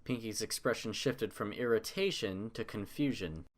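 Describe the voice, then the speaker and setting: A man's voice: on the low side, normal speed. A man narrating a scripted story as its narrator.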